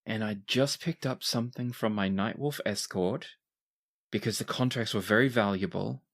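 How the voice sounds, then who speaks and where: A man playing a shaken, grieving ship captain in a tabletop game, with halting, bewildered questions. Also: fairly low pitch, vocal fry, fairly bright tone. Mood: confused, anxious. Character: shaken, dazed revived male ship captain, grieving father.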